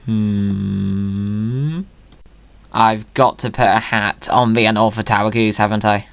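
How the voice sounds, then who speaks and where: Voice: mid-range voice, fairly even intonation, takes it slowly, vocal fry, no room sound. A whimsical, playful video host giving casual commentary and chat during a game.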